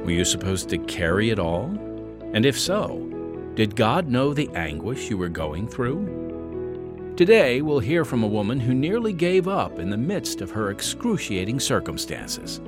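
A man reading a scripted radio devotional in an earnest, measured preaching manner, with scripture. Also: medium pitch, big pitch swings. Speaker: devotional host and narrator. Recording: music bed behind the voice.

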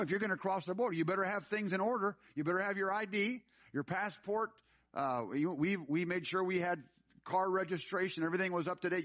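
A man's voice: high-pitched, a bit bright. A man preaching a sermon as a pastor to his congregation, emphatic and repeating phrases for effect, yet conversational, slipping into anecdotes and asides. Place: speaking before a live congregation.

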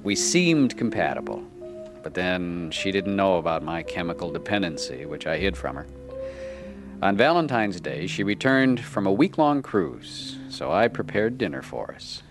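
A troubled, insecure addict with a deep voice that moves a great deal in pitch. He is earnest and hesitant, easily turning defensive, in a radio drama with music behind him. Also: big pitch swings, moderate pace. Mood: reflective narration. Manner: hesitant, stammering in dialogue. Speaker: lead actor and narrator. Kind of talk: radio drama acting and first-person narration.

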